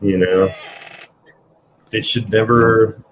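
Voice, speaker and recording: Slow-talking New York man, lo-fi. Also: fairly low pitch, steady tone, a little hiss or hum. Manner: rambling, restarts often, laughs between remarks. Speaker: host of a comics livestream. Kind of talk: casual chat.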